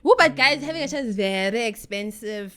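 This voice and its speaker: A woman's voice: on the high side, expressive, fairly quick, fairly bright tone. A South African woman in her thirties, a guest telling her personal story in a candid conversation, acting out the voices of people she quotes. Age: 30s.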